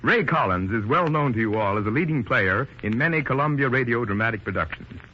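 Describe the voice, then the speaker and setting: A man's voice: medium pitch, lively intonation, a little fast, slight background noise. A man presenting a radio demonstration in a formal, showman-like 1930s announcing style. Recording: dull lo-fi 1930s radio recording.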